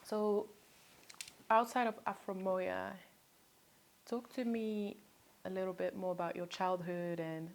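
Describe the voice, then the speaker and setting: A woman hosting a casual interview, lively, encouraging and playful with her guest. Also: fairly low pitch. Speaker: interviewer, host.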